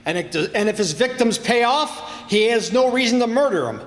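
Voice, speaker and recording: A man acts out an exasperated police inspector in a radio crime play. The voice sits high for a man and swings widely, full of bluster and sarcasm, and it rings through an echoey hall. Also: animated, fairly quick, fairly bright tone. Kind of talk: old-time radio crime drama acting. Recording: echoey hall stage performance.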